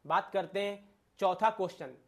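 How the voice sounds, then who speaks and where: A man's voice: high-pitched, moderate pace, creaky, fairly bright tone. A man with an Indian accent giving an informal exam-prep lecture to viewers, emphatic, using rhetorical questions and repeated phrases. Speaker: exam-prep teacher and channel host.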